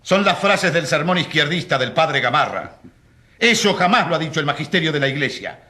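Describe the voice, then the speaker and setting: Pompous conservative accuser, played by a man, high-pitched, forceful and indignant, for a courtroom radio play. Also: sing-song, fairly quick, reverberant. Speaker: prosecutor in a radio courtroom drama. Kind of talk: dramatised courtroom debate.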